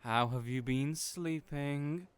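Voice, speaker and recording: A man's voice: a little high, takes it slowly, smooth, pitched above the performer's own voice. A man voicing a flamboyant, fussy male hairstylist who teases and mothers his clients in an audio roleplay. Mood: teasing.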